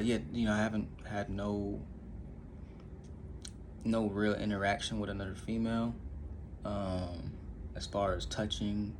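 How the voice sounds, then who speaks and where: Young guy vlogging at home, kinda low voice, lots of ums and restarts, faint room hum behind. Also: gravelly. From Indiana, USA. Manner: halting, many fillers and restarts, candid. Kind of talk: casual rambling monologue.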